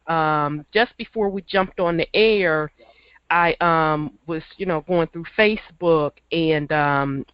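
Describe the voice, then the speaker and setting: A man hosting a call-in radio show, hoarse from a cold, talking in a laid-back, chuckling, folksy way. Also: a little high, no room sound, lo-fi sound. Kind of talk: casual call-in radio chat.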